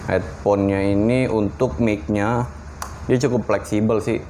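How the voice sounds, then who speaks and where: A man's voice: fairly low pitch, fairly even intonation, unhurried, noisy background. A man, giving an informal, slangy, unscripted hands-on product review. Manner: informal, slangy, talking through a hands-on demonstration.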